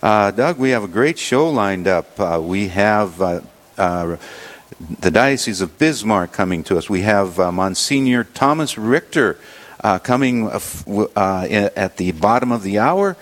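A man hosting a religious radio show, leading prayer and introducing guests in a halting way with many fillers. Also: on the low side, lively intonation.

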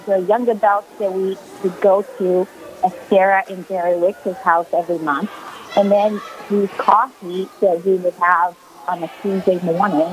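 A woman's voice: fairly low pitch, slight background noise, rough recording. A woman in her forties from Brazil, a guest on a radio call-in interview, telling her story earnestly with many fillers. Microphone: phone call.

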